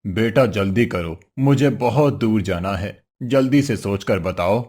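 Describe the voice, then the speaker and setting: A man's voice: fairly low pitch, a little slow, a little mellow. A man voicing a hungry, weary old wandering holy man in a cartoon story, benevolent and pleading. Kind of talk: Hindi cartoon story voice acting.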